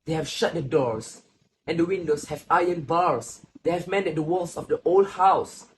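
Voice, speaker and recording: Man acting as a solemn old grandfather, lightly pitched. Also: moderate pace, natural voice. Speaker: student actor. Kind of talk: literary stage play acting. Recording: faint background noise.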